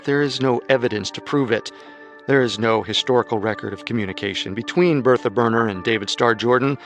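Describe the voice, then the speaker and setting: Man, mid pitch, measured true-crime narrator, skeptical, soft music bed. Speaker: narrator and co-host.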